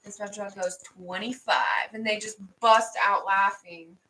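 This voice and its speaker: A woman's voice: mid-range voice, measured pace, far from the mic, slight background noise, poor audio. A woman in her twenties chatting casually with her mother, telling stories with lots of "like". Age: 20s. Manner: animated storyteller, says "like" a lot. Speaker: daughter and co-host. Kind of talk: casual family chat.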